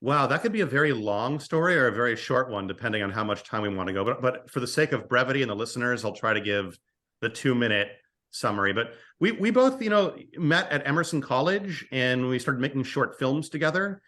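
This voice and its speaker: Bright-voiced man answering interview questions. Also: medium pitch, animated. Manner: halting, thinking aloud in short phrases. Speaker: podcast guest, screenwriter and co-creator of an audio drama. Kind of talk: casual interview storytelling.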